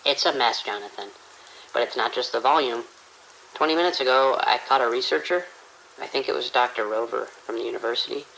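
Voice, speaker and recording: An actor playing a frightened, overworked morgue attendant in an audio drama, uneasy and insistent. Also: male voice, mid-range voice, fairly quick, vocal fry, pushed and bright, slight background noise, poor audio. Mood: harried, unsettled. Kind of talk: radio drama acting.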